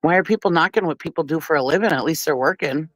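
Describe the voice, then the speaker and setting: A woman's voice: deep voice, quick pace, no room sound, clean studio sound, faint music behind. A woman with a stuffy nose from a cold, hosting a casual livestream and chatting bluntly with viewers, often laughing and swearing. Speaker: livestream commentary host.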